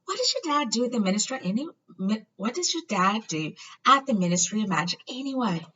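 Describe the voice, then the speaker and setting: A woman voicing a quiet, curious young wizard boy who asks questions in a hushed, breathy, wondering way for a storybook. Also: fairly high pitch, very animated, fairly quick, voice pushed up, full character voice. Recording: dull lo-fi, far from the mic.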